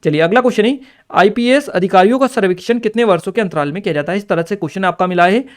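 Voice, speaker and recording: A man's voice: high voice, very fast. A man giving an explanatory exam review lesson, reading out questions and answers and addressing students directly in an instructive way. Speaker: exam-prep teacher. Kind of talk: explanatory exam review lecture, partly read out.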